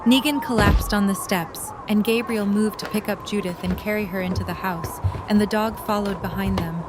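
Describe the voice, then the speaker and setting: A woman's voice: medium pitch, fairly even intonation, moderate pace. A woman reading scripted story narration for an audio drama. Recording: noisy storm ambience behind.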